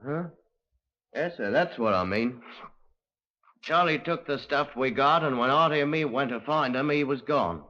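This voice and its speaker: An actor playing a simple-minded, deferential young London spiv, hesitant and polite, in a 1950s radio drama. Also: male voice, mid-range voice, pushed and bright, lightly acted. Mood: slow, cautious. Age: young adult. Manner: slow-witted, hesitant, polite working-class speech. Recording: muffled old radio recording.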